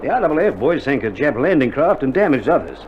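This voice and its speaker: Guy acting aussie army male nurse in old radio war play, dry jokey and reassuring, really animated, dull crackly vinyl sound. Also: mid-range voice, fairly quick, lightly acted. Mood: brisk, reassuring. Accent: Australian. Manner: laconic, joking, colloquial banter. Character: wry, reassuring army male nurse in a wartime field hospital. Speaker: actor in a radio war drama. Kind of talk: 1960s radio drama acting. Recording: old vinyl recording, dull band, faint noise.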